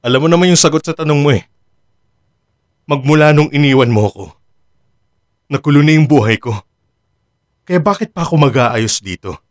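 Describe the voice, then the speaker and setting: An actor playing a bitter, listless jilted lover in a radio drama. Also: male voice, fairly high pitch, animated, moderate pace, long pauses, lightly acted. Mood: sullen.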